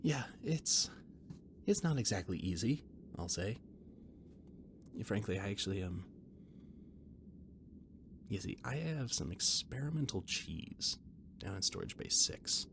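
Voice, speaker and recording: A man's voice: fairly low pitch, very animated, measured pace, vocal fry, pauses often, full character voice. A man playing a flustered, rambling catboy who cooks aboard a spaceship, hesitant and stammering, in an intimate roleplay audio drama. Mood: exasperated rambling, then earnest, nerdy. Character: flustered, rambling catboy ship's cook and mechanic, eager friend. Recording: noticeable background noise and ambience.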